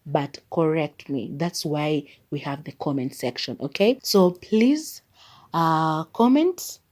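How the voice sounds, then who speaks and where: A woman's voice: deep voice, lively intonation, slow pace, a little mellow. A woman with a Kenyan accent, whose first language is Maragoli, rambling in a chatty way through a casual solo podcast as its host. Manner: chatty and rambling, leans on "like" as filler.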